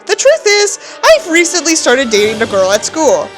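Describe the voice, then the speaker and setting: A pompous, nihilistic teenage boy reading out his journal, performed by a woman. The voice is high and swoops theatrically, full of contempt and self-importance. Soft music runs underneath. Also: wildly swooping pitch, moderate pace. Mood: smug, detached.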